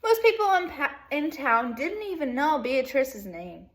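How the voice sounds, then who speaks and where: Higher-pitched woman reading to children. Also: a little slow. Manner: expressive storytelling addressed to young children. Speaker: second grade teacher. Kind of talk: reading a children's picture book aloud with casual asides.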